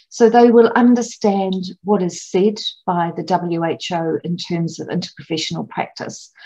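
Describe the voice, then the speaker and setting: A middle-aged woman from New gives an online conference lecture over slides, measured and explanatory, with frequent ums and restarts. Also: on the low side, gravelly, dark tone, husky, highs cut off. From New Zealand. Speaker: clinical academic presenting at a conference.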